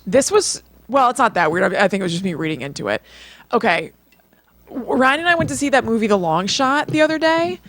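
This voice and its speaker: A woman's voice: fairly high pitch, animated, brisk, dry recording. Woman co-hosting, dry close sound. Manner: reactive, agreeable, conversational. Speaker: podcast co-host. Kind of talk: casual chat.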